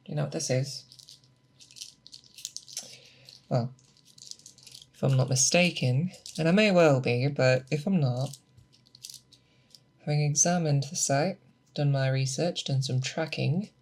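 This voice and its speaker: A woman playing a seasoned, patient monster hunter in an ASMR roleplay, speaking softly and thoughtfully to an apprentice, close to the mic. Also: deep voice. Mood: patient, instructive. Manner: deliberate, hesitant, thoughtful explaining. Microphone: close binaural microphone.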